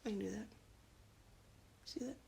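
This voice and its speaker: A woman's voice: normal speed, warm tone. A woman reading a children's storybook aloud in a casual live session, breaking off for chatty asides.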